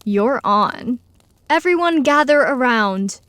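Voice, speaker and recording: Casting: a woman for confident campfire-tale dragoness, slightly high voice, playful, dry recording. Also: expressive, slow pace, a bit bright, no room sound, heavily acted. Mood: challenging. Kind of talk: fan audio drama storytelling.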